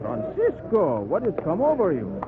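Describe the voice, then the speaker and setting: A high-voiced, taunting marketplace crony in an old radio play. He swoops animatedly in pitch on a thin, phone-like recording. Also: wildly swooping pitch, normal speed, background noise. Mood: teasing, needling. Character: needling, teasing colonial marketplace crony. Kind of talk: 1940s radio acting.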